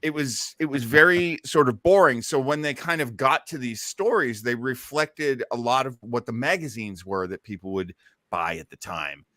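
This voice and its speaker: Middle aged guy hosting a chat, bright forceful voice, dry close sound. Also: medium pitch, normal speed, no echo. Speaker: host of an old-time radio listening party. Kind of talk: casual panel discussion.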